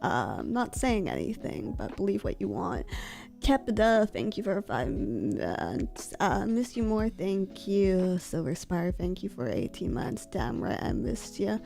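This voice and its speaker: A woman streamer who is sick and congested, talking softly and close to the mic while reading out donations in a casual chat. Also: very animated, measured pace, soft background music. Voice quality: low husky sick voice. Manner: soft-spoken, mic held close. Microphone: close desk mic.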